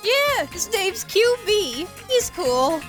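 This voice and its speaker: A woman's voice: extremely high pitch, big pitch swings, takes it slowly, heavily acted. A woman voicing a naive, blunt young male hedgehog in a comedy dub. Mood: earnest. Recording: loud music over the voice.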